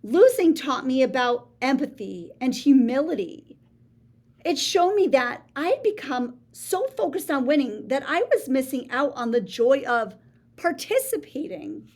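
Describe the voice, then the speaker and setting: A woman reads a children's story aloud in a lively, sing-song voice that swoops up and down. She takes her time, letting each line land unhurriedly, and drops into gasps and hushed asides to the listeners. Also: high-pitched. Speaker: children's book reader.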